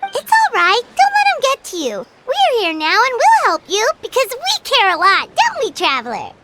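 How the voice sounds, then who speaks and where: A woman voicing a small, bubbly, blunt fairy companion girl in a video game, squeaky and childlike, with expressive, drawn-out reactions. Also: a little hiss or hum, full character voice. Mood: reassuring. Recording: music behind the voice.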